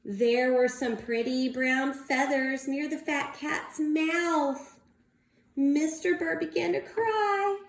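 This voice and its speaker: A woman reading a picture book aloud to young children as a teacher, expressive and drawn-out, pausing to put questions to them. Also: high-pitched. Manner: animated, drawn-out delivery, prompting young listeners. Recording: roomy, echoing indoor sound.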